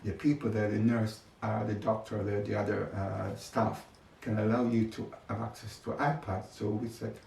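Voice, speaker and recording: A man's voice: on the low side, flat delivery, moderate pace, gravelly, few pauses, darkish tone, soft background music. An older man being interviewed, talking reflectively about his experiences in his own words. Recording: echoey, distant mic, faint noise.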